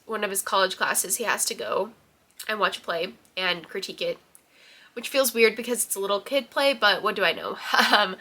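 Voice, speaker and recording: A woman's voice: medium pitch, bright, forceful tone. A young woman with a stuffy, nasal voice from a head cold, chatting casually and rambling a little in a book vlog. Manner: chatty, rambling, many ums and likes. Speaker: book vlogger. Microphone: camera in her room.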